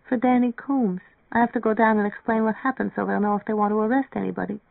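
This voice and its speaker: Narrator playing a young woman, girlish raised pitch, weary, restless, murmuring, plaintive, audiobook dialogue, thin band-limited sound. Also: fairly even intonation, fast, gravelly, no echo, narrow, tinny audio, natural voice. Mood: subdued.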